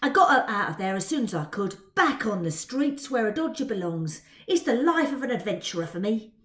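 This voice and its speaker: Woman acting a cocky Cockney boy pickpocket, swooping pitch. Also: sing-song, brisk, reverberant, close to an everyday voice. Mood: boastful, dismissive. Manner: bragging, ungrammatical street slang. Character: cocky, street-smart young boy pickpocket, chatty Victorian rascal.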